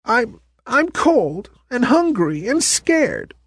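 A man's voice: medium pitch, huge pitch swings, normal speed, slightly rough, no echo, heavily acted. A man doing a small, earnest toy ballerina girl. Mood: tearful, bewildered. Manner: earnest, pleading.

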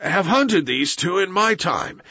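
A man's voice: high voice, very animated, a little slow, pushed and bright, husky, no echo, dull audio, pitched above the performer's own voice, heavily acted. A man doing a panting old wolf leader. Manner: breathless, gasping.

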